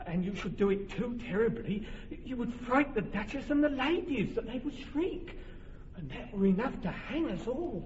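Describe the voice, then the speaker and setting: A fussy, earnest carpenter-director. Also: male voice, very high voice, very animated, moderate pace, a little mellow, slight background noise. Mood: coaxing. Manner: earnest, persuasive, organizing. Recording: dull lo-fi radio recording with hall reverb.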